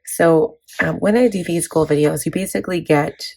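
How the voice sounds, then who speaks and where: Hoarse woman, low and chatty. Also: normal speed, darkish tone, rough recording. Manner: chatty, rambling, many fillers. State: hoarse from laryngitis. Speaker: planning vlogger. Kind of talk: casual chat walking through a planner.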